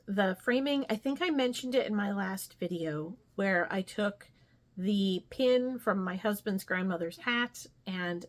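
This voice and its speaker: A woman chatting casually as a craft vlogger, rambling and thinking aloud as she shows her projects. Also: mid-range voice. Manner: rambling, thinking aloud, trailing tag questions. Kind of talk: casual chat, showing stitching projects.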